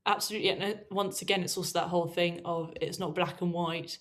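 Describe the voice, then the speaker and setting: A woman's voice: lowish voice, fairly even intonation, fast, fairly bright tone. A young adult woman co-hosting a podcast, chatting casually and sounding easily impressed and enthusiastic. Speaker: podcast co-host.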